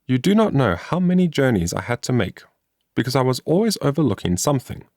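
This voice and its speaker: A man's voice: medium pitch, very animated, fast, darkish tone, dry recording, clean studio sound. A man voicing a cheerful, industrious young woman in a storybook, in a chatty, earnest manner. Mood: cheerful, proud. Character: cheerful, industrious young woman explaining her homemade invention.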